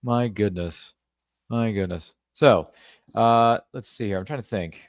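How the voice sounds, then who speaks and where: A man's voice: on the low side, a little slow, no echo. A man hosting a podcast interview, chatting casually and rambling with playful asides. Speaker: podcast host.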